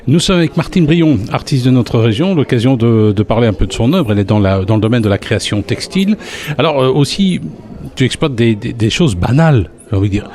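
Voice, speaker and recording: A man's voice: medium pitch, expressive, brisk, dark tone. A man hosting a local radio interview, repeating his introduction and stumbling over restarts in a chatty way. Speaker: radio host.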